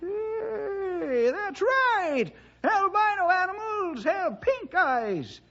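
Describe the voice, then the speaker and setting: An actor playing an excitable, homespun old cowboy sidekick with a folksy American Western drawl, in 1960s radio drama style. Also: male voice, very high-pitched, drawn-out words, full character voice. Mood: sudden realization. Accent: American West. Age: older. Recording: dull vintage radio recording.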